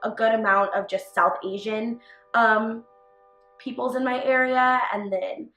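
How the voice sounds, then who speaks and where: A young woman from Texas talks through her answers slowly and thoughtfully, pausing often on "um". The room around her is noticeably echoey. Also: on the high side, steady tone, fairly bright tone, faint music behind. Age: young adult. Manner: thoughtful, many "um" pauses. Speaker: interviewee, college junior. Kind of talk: casual documentary interview. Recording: roomy echo.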